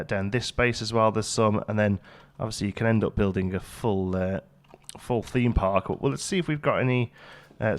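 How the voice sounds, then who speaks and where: A British man with a middling pitch gives casual gaming commentary. He chats wryly and thinks out loud as he goes, in a let's play host's easy style. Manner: chatty, wry, thinking aloud. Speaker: gaming video host.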